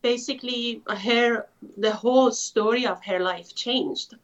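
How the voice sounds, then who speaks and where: Higher-voiced woman from Iran, lo-fi sound. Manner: thoughtful, many filler pauses. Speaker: author, interview guest. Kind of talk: conversational storytelling. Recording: muffled lo-fi audio.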